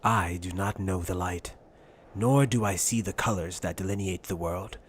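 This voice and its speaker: A man voices a solemn anime warrior captain in a low register. His delivery is grave, formal and measured, like a philosopher in arms. Faint noise sits behind him. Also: close to an everyday voice. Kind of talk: anime fan dub acting.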